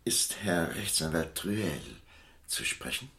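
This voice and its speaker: An older man with a warm, dark, raspy baritone, playing a rich, sly, courteous shipowner in a 1980s radio crime comedy. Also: expressive, takes it slowly, heavily acted. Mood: courteous, hesitant. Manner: hesitant, courteous, theatrical.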